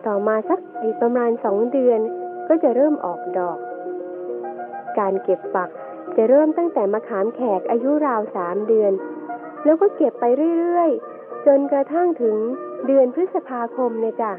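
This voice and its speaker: A woman playing a gentle mother who patiently teaches her young daughter in an educational radio drama. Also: fairly high pitch, animated, normal speed, natural voice. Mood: gentle, instructive. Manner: gentle, instructive. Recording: tinny band-limited audio with music behind.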